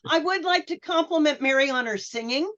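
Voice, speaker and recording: High-voiced woman on a dull lo-fi line. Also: brisk, a bit bright. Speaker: panelist. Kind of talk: casual panel discussion. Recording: dull lo-fi online call audio.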